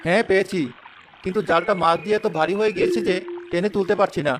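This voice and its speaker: A man voicing a kindly, quick-witted village fisherman who reasons earnestly in an animated folk tale. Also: high-pitched, noisy background, lightly acted. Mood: pleased.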